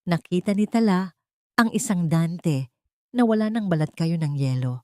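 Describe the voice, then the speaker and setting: A woman's voice: on the low side, expressive, moderate pace, a little mellow, no room sound, studio quality. A woman with a Filipino accent narrating a dramatic audiobook story from a script.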